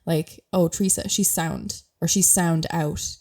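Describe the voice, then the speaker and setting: A woman's voice: on the low side, fairly even intonation, a little slow, darkish tone. A young Irish woman with a mild Irish accent hosting a podcast, chatting casually while sick with a cough and laughing often as she explains things. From Ireland. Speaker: podcast host.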